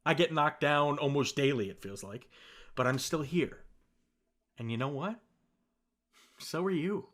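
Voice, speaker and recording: A young man speaking softly and gently into a close microphone, with a voice pitched a little high for a man. He reassures the listener warmly and teases now and then in a scripted ASMR roleplay. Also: expressive, a little slow, pauses often. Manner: soft, gentle and reassuring, with light teasing laughs. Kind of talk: scripted ASMR roleplay as a comforting best friend.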